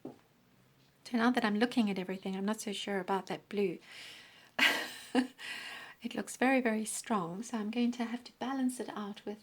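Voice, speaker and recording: A woman teaching a crochet pattern step by step, counting stitches aloud, her voice croaky and often dropping into a breathy half-whisper. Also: mid-range voice, steady tone, normal speed. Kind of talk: step-by-step craft tutorial.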